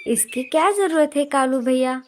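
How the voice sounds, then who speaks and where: A woman's voice: high voice, normal speed, a little hiss or hum, natural voice. A woman voicing a helpful, caring young female bird in a children's cartoon story, earnest and reassuring.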